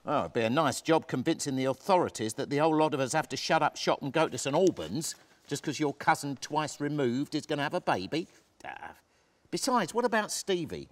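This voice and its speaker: A man plays a pub landlord in a stage drama, a steadfast husband under strain. His voice sits a little high, and he speaks with earnest, stubborn emphasis. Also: lively intonation, fairly quick, close to an everyday voice. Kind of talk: dramatic script reading on stage. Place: theatre stage, seated reading.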